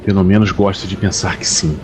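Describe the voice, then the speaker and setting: A man portrays a grave warrior captain telling his own saga in an audio drama. The voice is deep, solemn and measured, with soft music beneath the narration. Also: steady tone, lightly acted. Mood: grave, ominous. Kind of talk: dramatic first-person scripted narration. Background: faint music.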